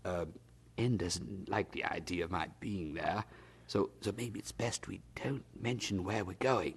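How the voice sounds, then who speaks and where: An actor playing a hearty, blustering older brother in an 18th-century audio drama, with a husky voice and a condescending manner. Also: male voice, sits low, a little slow, heavily acted. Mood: flustered, evasive. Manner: chuckling, hearty, condescending, stammers when evasive.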